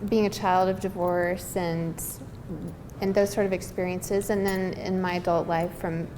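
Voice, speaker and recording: A woman's voice: fairly low pitch, little pitch movement, unhurried. A woman screenwriter answering questions casually, earnest and hesitant as she searches for words. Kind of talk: casual, unscripted Q&A talk. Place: live event hall. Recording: reverberant hall with background noise.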